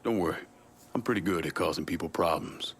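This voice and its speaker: An actor playing a cold, determined criminal in a video game, terse, blunt and menacing. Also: male voice, low-pitched, brisk, a bit bright, slight background noise. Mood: cool, menacing.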